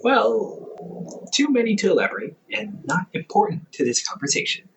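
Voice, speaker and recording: A man's voice: high-pitched, big pitch swings, moderate pace, creaky, fairly bright tone, recorded from a distance, dull audio, slight background noise, exaggerated voice. A man voicing a caring, goofy older brother in an audio roleplay, playful and chatty, laughing often.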